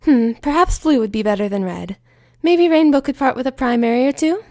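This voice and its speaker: A woman's voice: high-pitched, animated, a little fast, a little mellow, no room sound, clean studio sound. A woman voicing a prim, fussy female unicorn designer who speaks in a refined, indignant way.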